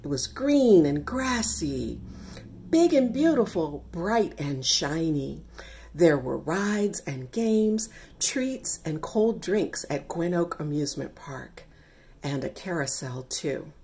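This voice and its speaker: A middle-aged woman reading her own children's picture book aloud, with deliberate, expressive phrasing for young listeners. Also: low-pitched. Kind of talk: read-aloud children's story narration.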